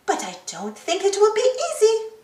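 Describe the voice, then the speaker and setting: Woman as mother pig, storybook, confident, gentle but determined, high swooping voice. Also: wildly swooping pitch, normal speed, roomy sound, exaggerated voice. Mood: encouraging.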